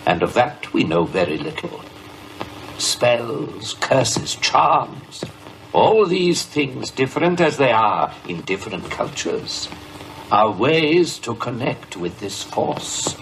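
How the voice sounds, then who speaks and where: A grave, fervent occultist in a radio play. He speaks slowly and portentously, with dramatic swings of pitch and an air of menace. There is faint noise behind the voice. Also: very animated, rough recording. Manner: slow, portentous, emphatic.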